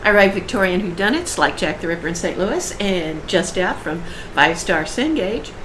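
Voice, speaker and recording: A woman's voice: fairly low pitch, animated, normal speed. A woman author chatting on a panel podcast, talkative and explaining at length. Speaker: author guest. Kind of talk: casual panel chat. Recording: roomy with faint hiss.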